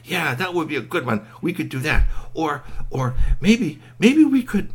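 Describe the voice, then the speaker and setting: A man voicing an excitable, indignant and impulsive young boy in a children's story, blurting out repeated exclamations. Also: a little high, moderate pace, husky, pitched above the performer's own voice. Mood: plotting.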